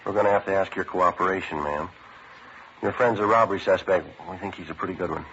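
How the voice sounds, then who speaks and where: Low-voiced, deadpan police detective. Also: male voice, fast talker. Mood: businesslike. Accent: American. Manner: clipped, matter-of-fact, deadpan. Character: terse, businesslike police detective sergeant, narrating his case. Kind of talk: 1940s-50s radio police drama acting. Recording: noisy, dull vintage radio recording.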